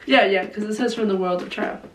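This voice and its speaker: A hoarse young woman chatting casually about her favorite books, gushing and saying "like" often, with a cough now and then. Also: medium pitch, brisk. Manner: gushing, heavy use of "like". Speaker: book vlogger. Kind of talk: casual book review chat. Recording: roomy, distant mic, faint noise.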